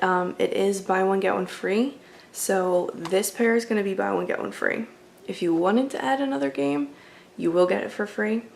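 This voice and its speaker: A woman's voice: mid-range voice, gravelly, a little hiss or hum. A woman doing an ASMR roleplay as a friendly, accommodating video game store clerk, chatting in a casual, polite and apologetic way. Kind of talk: ASMR roleplay, casual customer-service chat.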